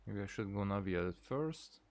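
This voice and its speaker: A man's voice: fairly low pitch, animated, normal speed, darkish tone. A man giving casual let's play commentary, thinking aloud in short muttered fragments. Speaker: gaming streamer. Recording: game music behind.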